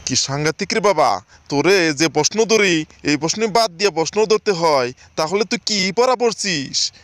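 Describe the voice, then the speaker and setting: A man's voice: sits high, expressive, normal speed, a bit bright, no room sound. A man voicing a scolding, easily exasperated schoolteacher in a comedy cartoon.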